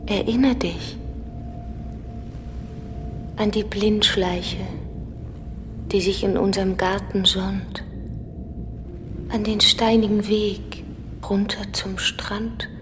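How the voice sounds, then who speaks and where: An actress playing a ghostly beloved woman in a poetic radio drama, hushed and incantatory as she calls up memories. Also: medium pitch, steady tone, slow, pauses often, lightly acted. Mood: hushed, beckoning. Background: music.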